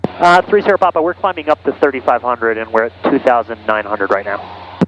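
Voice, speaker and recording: Man, cockpit headset, faint engine noise, casual explanations, joking, medium pitch. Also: lively intonation, fairly quick, dull audio. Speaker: private pilot and vlogger. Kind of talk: casual chat and radio calls while flying. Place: small airplane cockpit in flight. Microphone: aviation headset.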